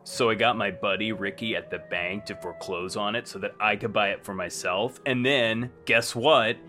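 An actor playing a smug, self-satisfied, heartless business bro in a comedy radio play. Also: male voice, fairly low pitch, moderate pace, music in the background, close to an everyday voice. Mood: smug boasting.

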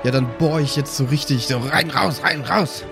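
A man's voice: fairly high pitch, moderate pace, vocal fry. Casual male gamer over music. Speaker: tabletop role-play player. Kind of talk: casual game talk.

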